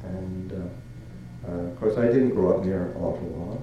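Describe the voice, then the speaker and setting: Halting older monk, slow and echoey. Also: male voice, deep voice, dull audio, background noise. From Canada. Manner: halting, many fillers and restarts, chuckles. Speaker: Buddhist monk. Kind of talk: dharma talk.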